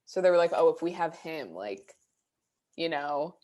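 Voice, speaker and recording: A young woman with a fairly low voice chatting on a podcast. She thinks out loud, trails off mid-sentence and hunts for names as she goes. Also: lively intonation, moderate pace. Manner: musing, trails off while searching for words. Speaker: podcast co-host. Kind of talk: casual chat.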